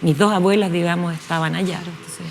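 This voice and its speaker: A woman in her fifties from Chile, a guest in a studio interview, speaking thoughtfully with many pauses and restarts. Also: lowish voice, fairly even intonation, gravelly, darkish tone, slight background noise. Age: 50s. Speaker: guest, actress. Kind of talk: relaxed interview conversation.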